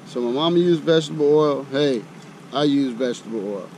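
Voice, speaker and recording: Middle aged american guy doing a camp cooking vlog outside, folksy chatter, medium pitch, lots of engine-like noise behind him. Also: lively intonation, brisk. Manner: folksy, colloquial, thinking aloud. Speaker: host of an outdoor cooking channel. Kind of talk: casual chat while demonstrating camp cooking. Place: outdoors. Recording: outdoor recording with loud ambient rumble.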